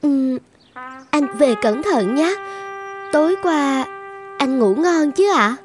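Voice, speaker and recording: An actress playing a polite, soft-hearted, emotional young woman in an animated cartoon, with earnest delivery. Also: sits high, takes it slowly. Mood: gentle. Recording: music behind.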